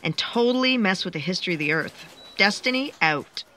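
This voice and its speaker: Cosmic book narrator: brisk, wry, bossy, forceful bright tone, sci-fi comedy. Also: female voice, mid-range voice, animated. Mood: wry, officious. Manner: wry, presenter-like. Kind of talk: comic sci-fi audio theatre narration.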